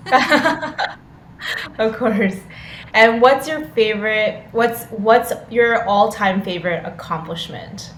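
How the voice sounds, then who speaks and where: A woman's voice: mid-range voice, slow pace, a bit bright. Lady hosting a podcast, upbeat and informal, roomy echo, bit of noise. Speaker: podcast host. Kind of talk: upbeat interview with quick questions.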